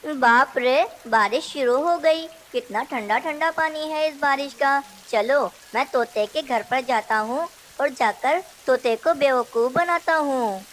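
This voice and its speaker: A woman's voice: sits high, a little slow, few pauses, a bit bright, a little hiss or hum, low-quality recording. A woman voicing a sly, lazy male crow trickster in a children's cartoon, scheming and brazen. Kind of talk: Hindi children's cartoon dialogue.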